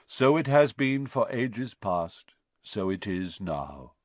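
A man voicing a solemn, cryptic centaur in a storybook, with a deep, sorrowful voice and a slow, portentous manner. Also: very animated, fairly bright tone, no echo, exaggerated voice. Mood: brooding, solemn.

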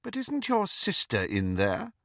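A stern, dignified woman professor of witchcraft from a storybook, as a male narrator puts her on. She speaks formally and precisely, with icy impatience. The voice sits high and is bright, with an expressive rise and fall. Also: a little slow, dry recording, studio quality, exaggerated voice. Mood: politely pointed.

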